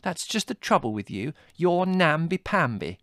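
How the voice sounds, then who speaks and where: A man plays a young woman in a storybook, pitched high. She is bossy and easily irritated, brushing off complaints with brisk scorn. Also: expressive, no room sound, studio quality, close to an everyday voice. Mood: scornful. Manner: brisk, scolding, dismissive.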